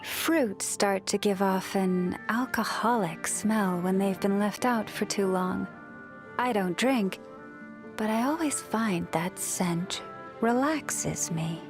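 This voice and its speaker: A gentle, devout young priestess of the moon from a video game. She speaks slowly and softly at a medium pitch, measured and reflective, with breath trailing at the ends of her phrases. Music plays softly behind her. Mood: serene, gentle. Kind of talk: scripted video game character voice lines.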